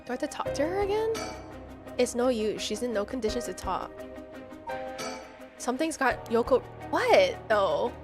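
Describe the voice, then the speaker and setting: A woman's voice: fairly high pitch, sing-song, normal speed, long pauses. A woman game streamer playing a horror game live, reading text aloud and reacting in a dramatic, slangy way. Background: game music.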